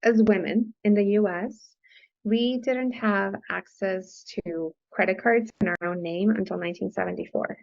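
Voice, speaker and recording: A woman's voice: medium pitch, moderate pace, creaky. A woman guest whose first language is Spanish, raised on the US-Mexico border, explaining money matters in an enthusiastic interview manner and often tagging sentences with "right?". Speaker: guest, financial coach. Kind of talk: conversational interview. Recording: dull lo-fi podcast audio.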